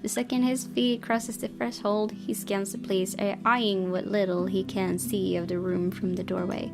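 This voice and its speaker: Creaky-voiced woman narrating a visual novel. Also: mid-range voice, little pitch movement, moderate pace. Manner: dramatic storytelling delivery, stumbling on words. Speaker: gaming video host. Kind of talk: expressive read-aloud narration of visual novel. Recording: guitar music behind the voice.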